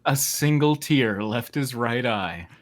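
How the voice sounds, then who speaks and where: A man's voice: mid-range voice, animated, slow pace. A man reading a story aloud as narrator in a joking group reading, with an amused manner. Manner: amused, often breaking into laughter. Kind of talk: scripted narration with joking asides.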